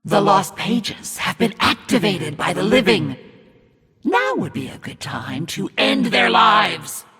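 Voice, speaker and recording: A woman voicing a malevolent female demon who commands the undead in a video game, menacing and imperious, with a raspy voice. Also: medium pitch, sing-song, slow, bright, forceful tone, exaggerated voice. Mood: bloodthirsty, commanding. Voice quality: raspy, husky. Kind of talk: scripted villain game narration. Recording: long cavernous reverb.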